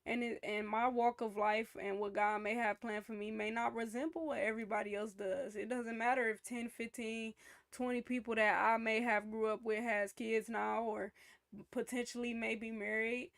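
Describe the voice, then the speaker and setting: A young woman talks through her reactions in a relaxed, chatty streaming style, with a slightly high voice. She reflects out loud and speaks from a young adult's point of view. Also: moderate pace. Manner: reflective, chatty, faith-minded. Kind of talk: casual reaction commentary.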